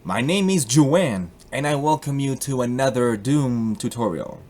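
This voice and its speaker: A man's voice: mid-range voice, animated, a little slow, a little mellow, slight background noise. Playful Colombian man hosting a tutorial. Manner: playful and jokey, slipping into put-on accents. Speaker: tutorial host. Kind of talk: casual screen-recorded software tutorial.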